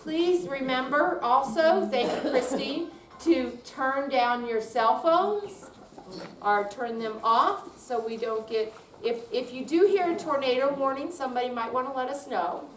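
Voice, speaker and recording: A woman's voice: high-pitched, lively intonation, measured pace. A woman giving an informal, chatty and encouraging welcome speech to an audience as the host of an event. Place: indoor room at a senior center. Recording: roomy, distant mic, noisy hall.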